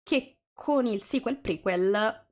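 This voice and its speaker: A woman's voice: on the high side, slow. A woman hosting a casual livestream chat, wandering through her sentences and circling back on phrases, with the odd English phrase slipped in. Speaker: host of a live visual novel reading.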